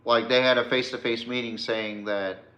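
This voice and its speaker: A man's voice: medium pitch, fairly even intonation, moderate pace, sharp tone. A man hosting a sports podcast, giving a blunt, slangy, opinionated rant with swearing and emphatic direct address. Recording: echoey, reverberant room.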